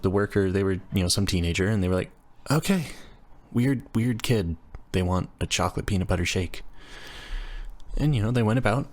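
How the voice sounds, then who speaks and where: A man acts out a young, nerdy engineering student with a low-pitched voice. He rambles and stammers through casual roleplay chat, flustered and quick to poke fun at himself, as if talking to a roommate. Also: close to an everyday voice. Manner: stammering, rambling, self-deprecating. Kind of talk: intimate roleplay audio, casual scripted chat.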